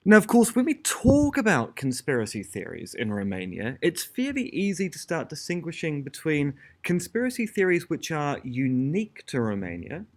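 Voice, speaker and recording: A man with a New Zealand accent giving an academic lecture as a philosopher, measured and explanatory with a touch of dry humor. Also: a little high, huge pitch swings.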